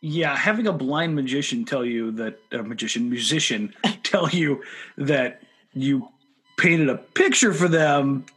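A man's voice: medium pitch, measured pace, a bit bright, slightly rough, faint music behind. A man co-hosting a podcast in a casual, chatty style. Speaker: podcast co-host. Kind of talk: casual chat.

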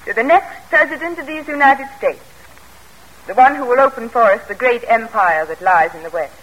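An actress playing a poised, tactful young daughter of a politician in theatrical 1940s radio drama style. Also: female voice, fairly high pitch, animated, moderate pace, fairly bright tone, poor audio. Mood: gracious, proclaiming. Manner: gracious, formal, theatrical. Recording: vintage radio broadcast, rough and worn.

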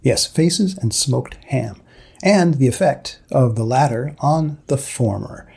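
A man hosting a podcast, introducing and asking questions in a deliberate, deadpan manner. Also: mid-range voice, gravelly, husky. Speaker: podcast host and magazine editor.

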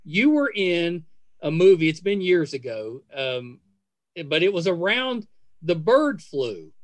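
Man podcast host with swooping, higher pitch. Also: sing-song, slow pace. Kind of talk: casual conversational interview.

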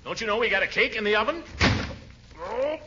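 An actor playing a pompous, bumbling middle-aged American uncle in a 1940s radio comedy, blustering and stammering. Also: male voice, high-pitched, animated, fairly quick. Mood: flustered, indignant. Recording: muffled, noisy 1940s radio recording.

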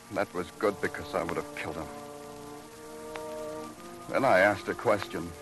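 A man's voice: deep voice, poor audio. A man playing a tough, weary big-city police detective in a 1950s radio crime drama, terse and brooding. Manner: hard-boiled, terse, brooding. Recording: old radio recording with music.

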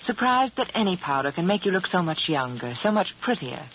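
A woman's voice: lowish voice, very animated, a little fast. A woman delivering a scripted 1940s radio advertisement in a polished, persuasive, emphatic manner. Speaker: beauty advertiser. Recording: muffled, hissy 1940s radio broadcast.

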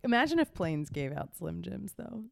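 A woman's voice: mid-range voice, sing-song, moderate pace, gravelly, a little hiss or hum. Bubbly, laugh-prone woman co-host. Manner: bubbly, laughs often, swears casually. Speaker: podcast co-host. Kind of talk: casual chat. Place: in-person studio or room in Los Angeles.

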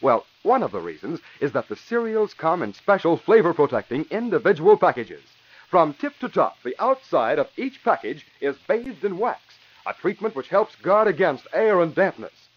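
A man's voice: fairly high pitch, very animated, normal speed. An American man working as announcer and narrator in a polished, emphatic 1940s radio style. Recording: dull vintage radio recording.

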